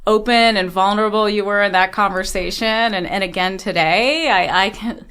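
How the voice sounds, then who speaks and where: American woman podcast host, mid-pitched. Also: moderate pace, a bit bright, smooth. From Ohio, United States. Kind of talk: casual interview conversation.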